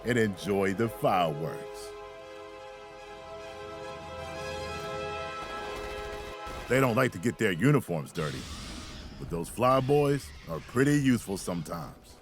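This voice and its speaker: A gruff, sardonic infantry officer for a military video game. His delivery is commanding and very animated, with wry clipped phrasing over clearly audible music. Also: lowish voice, normal speed. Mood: dry sarcasm. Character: gruff, sardonic mobile infantry field commander barking orders. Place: studio voice recording, music behind.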